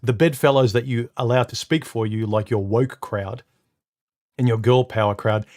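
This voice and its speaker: A man with a deep, somewhat muffled voice hosting a live stream from home into a microphone, talking casually and haltingly, repeating words and filling pauses with uh and um. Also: darkish tone. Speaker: live stream host reading comments. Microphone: studio microphone.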